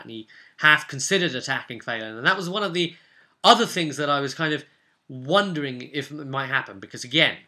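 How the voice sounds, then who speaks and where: A man's voice: mid-range voice, bright, forceful tone. A man speaking as a game master, recounting play informally and explaining with emphatic, repeated phrases. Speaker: game master recounting his tabletop session.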